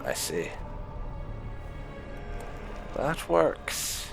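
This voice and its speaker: Man commentating with very animated intonation. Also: medium pitch, extremely slow, gravelly. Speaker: gaming video host. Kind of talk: casual game playthrough commentary. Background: game music.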